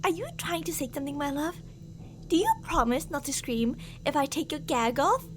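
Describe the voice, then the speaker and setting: A woman's voice: high-pitched, expressive, moderate pace, over music. A woman playing an obsessive, delusional stalker in an ASMR roleplay, theatrical and sweetly menacing, with jealous, volatile endearments and reproaches. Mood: menacing sweetness.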